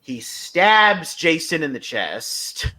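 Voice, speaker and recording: Male podcast co-host, bright forceful voice, casual recap with halting, punched-up emphasis. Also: on the high side, sing-song, low-quality recording.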